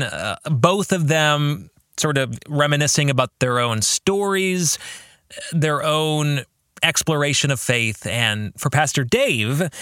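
Bright-voiced man, slow reflective podcast talk. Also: on the high side, no room sound, clean studio sound. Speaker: podcast host and author discussing his book. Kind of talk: reflective book commentary, addressing the listener directly.

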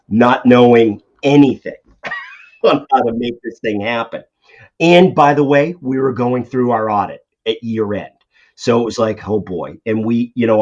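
A middle-aged man with a Boston accent talks through his answers in a relaxed, conversational way, often pausing on ums and restarting his sentences. His voice sits low. The recording is dull and lo-fi. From Boston area. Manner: conversational, many fillers and restarts. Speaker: CFO guest. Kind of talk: podcast interview answers. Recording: dull lo-fi audio.

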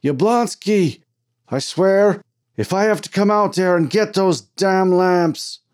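A man's voice: medium pitch, moderate pace, mellow, close to an everyday voice. A man voicing a blustering, bigoted mine foreman in an audiobook, cursing and exasperated. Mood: furious yelling. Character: gruff, bigoted, hot-tempered mine foreman. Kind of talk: dramatized audiobook character.

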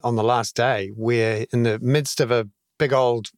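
A man's voice: mid-range voice, fairly even intonation, measured pace, no echo. Hesitant man hosting, dry close sound. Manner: hesitant, many ums and restarts. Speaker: host. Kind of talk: casual political panel chat.

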